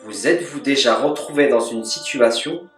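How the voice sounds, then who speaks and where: A man teaches in a calm, measured voice, reading a scripted lesson with Arabic devotional phrases woven through it. His voice sits at an ordinary pitch and sounds a little distant from the mic. The room is echoey, with faint music playing underneath. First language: French. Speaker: religious teacher.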